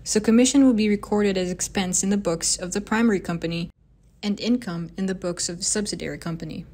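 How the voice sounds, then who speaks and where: A woman reading scripted narration for a software demo in an instructional, step-by-step manner. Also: mid-range voice, quick pace.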